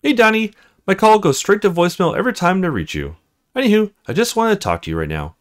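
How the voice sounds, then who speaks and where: A man's voice: sits high, very animated, fast, pitched above the performer's own voice. A spunky young woman , put on by a man, speaks in a raised, swooping voice. She is playful and teasing, a bouncy visual novel character. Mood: playful, lonely.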